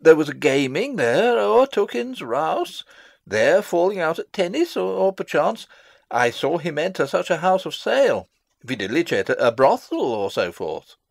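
A man's voice: a little high, very animated, normal speed, gravelly, no echo, exaggerated voice. An actor playing a fussy, long-winded old father and royal counsellor in a theatrical Shakespeare reading, pompous and rambling. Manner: rambling, pompous, theatrical, losing his thread. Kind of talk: dramatic reading of a Shakespeare play.

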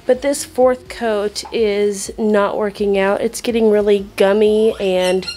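A woman's voice: medium pitch, fairly even intonation, slow pace, background noise. A young woman narrating a casual home vlog, chatting as she shows what she is working on around the house. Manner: casual, chatty, often drops to a late-night whisper. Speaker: home vlogger. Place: home, indoors, at night. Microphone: handheld phone camera.

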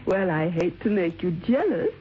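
An actress playing a breezy, affectionate wife in an old radio drama. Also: female voice, on the low side, big pitch swings, normal speed. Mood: breezy, teasing. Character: breezy, affectionate wife of a middle-aged man. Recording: dull, noisy old radio recording.

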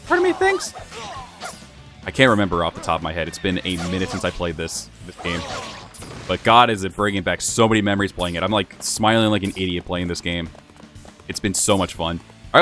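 A fast-talking young man with a lower voice that swings widely in pitch, rambling through a video game playthrough. His voice is slightly hoarse, as if he's losing it, with game music faint behind him. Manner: chatty, rambling with many fillers. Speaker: gaming channel host. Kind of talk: casual let's-play commentary.

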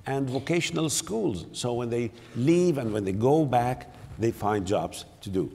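An older man speaking on a conference panel, halting, with many repeated words and fillers. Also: medium pitch, a little mellow, a little hiss or hum. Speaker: panelist, city mayor. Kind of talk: panel discussion. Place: large conference hall, on stage. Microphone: lapel mic. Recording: long hall reverb.

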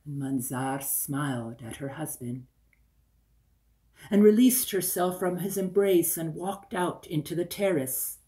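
An older man in his sixties telling a folktale in a dramatic, deliberate way, repeating phrases for effect. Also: fairly high pitch, warm tone. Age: older, 60s. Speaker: storyteller. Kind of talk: dramatic oral folktale storytelling. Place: small room indoors by a window.

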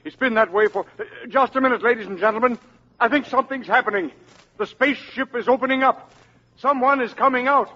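An actor playing a tense American radio reporter on the scene, clipped and formal, in a 1950s radio drama. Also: male voice, extremely high pitch, brisk, sharp tone, heavily acted. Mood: excited. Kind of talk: 1950s radio news acting. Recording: muffled 1950s radio broadcast.

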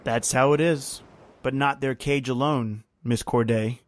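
An actor playing a hateful old servant in a radio horror drama, speaking with deliberate menace. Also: male voice, mid-range voice, fairly even intonation, natural voice. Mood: menacing, taunting. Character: bitter old male servant consumed by lifelong hatred.

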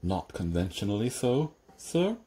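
Man doing a deferential patchwork servant, calm, dry, patient, mellow low voice. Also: unhurried. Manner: calm, patient, dryly polite. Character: unflappable, deferential stitched-together male servant. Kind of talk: audiobook character reading.

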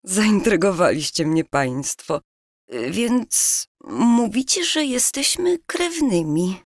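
An actress playing a polite but wary, incredulous young café owner from pre-war Warsaw in an audio drama. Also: female voice, medium pitch, very animated, slow, dry recording, studio quality. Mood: polite, intrigued.

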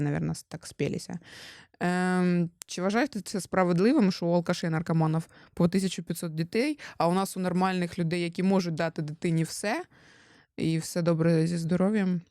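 A woman's voice: lowish voice, darkish tone, no echo, studio quality. A young Ukrainian woman hosting a casual podcast, chatty and teasing, often stumbling over her words as she questions her husband. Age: young adult. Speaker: podcast host.

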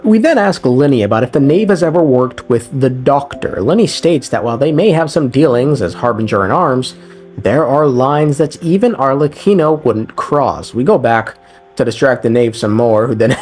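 A young man recaps a game story in a casual but scripted way. His mid-pitched voice swings up and down in a lively fashion, with dry, irreverent, slangy asides. Faint guitar music plays underneath. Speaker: game lore video narrator.

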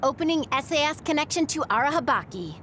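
High, girlish anime teammate. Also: sing-song, quick pace, background noise. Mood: encouraging. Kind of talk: English anime game dub acting.

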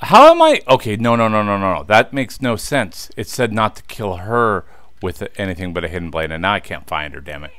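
Man, thirties, let's play host, slightly low pitch, dry recording, casual chatty commentary. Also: very animated, fairly quick, a bit bright, clean tone, no room sound. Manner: chatty, talks to viewers, easily frustrated.

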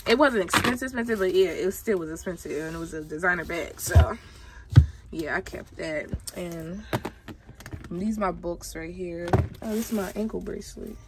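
A woman's voice: lowish voice, normal speed, heavy background noise. A young woman vlogging casually as she cleans her room, rambling and talking straight to her viewers. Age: young adult. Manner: chatty, rambling, addresses viewers. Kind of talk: casual chat.

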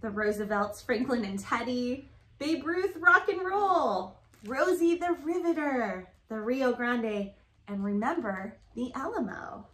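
A woman with a high voice reads a picture book to young children. Her delivery is sing-song and encouraging, as a storytime teacher would be. The room around her is live and echoey. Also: lively intonation, takes it slowly, a bit bright. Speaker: storytime reader. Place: living room, indoors. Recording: roomy, echoey home recording.

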